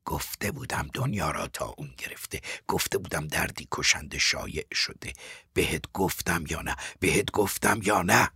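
A man's voice: low-pitched, pushed and bright, husky, dry recording, clean studio sound, exaggerated voice. A man voicing an embittered, frail old man who was once powerful, insistent and mournful, in an audiobook. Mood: regretful, insistent. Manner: insistent, repeats himself mournfully. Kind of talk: dramatic audiobook character acting.

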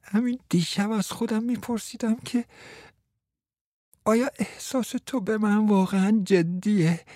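A man's voice: medium pitch, dark tone, dry recording, clean studio sound, close to an everyday voice. A man doing a whiny, sulky young man. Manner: whiny, pleading.

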